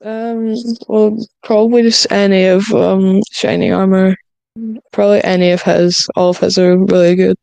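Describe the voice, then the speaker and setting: A woman's voice: medium pitch, level tone, moderate pace, vocal fry, a little mellow, clean tone, no room sound, rough recording. A woman chatting casually as a podcast panelist, hesitant and a little flustered. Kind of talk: casual, unprepared chat.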